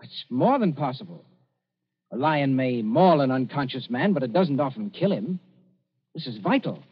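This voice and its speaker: A man's voice: mid-range voice, lively intonation, normal speed, a little hiss or hum, lightly acted. An actor playing a shrewd younger man in a radio drama, coolly suspicious and pointed in his questioning. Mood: pressing. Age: young adult. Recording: dull lo-fi radio recording.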